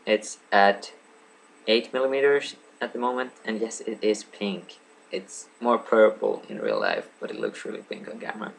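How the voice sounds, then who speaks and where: Late-teens man, native Swedish speaker, lowish pitch, creaky fry, meandering apologetic vlog chat, webcam at home. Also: expressive, moderate pace, low-quality recording. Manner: meandering, lots of ums and asides, apologetic. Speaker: vlogger. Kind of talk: rambling casual vlog. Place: home room lit by a lamp.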